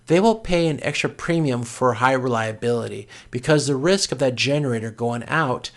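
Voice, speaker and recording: A man with a noticeably creaky, gravelly voice at a middling pitch delivers an informal recorded lecture. He sounds like an instructor walking through slides, explaining each point step by step and reaching for everyday examples. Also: moderate pace. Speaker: course instructor.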